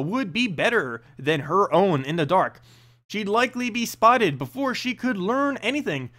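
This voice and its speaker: A man's voice: high voice, bright, forceful tone. A man reading a fantasy story aloud as a narrator, with dramatic delivery and occasional hesitant stumbles. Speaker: reader of fantasy stories, channel host.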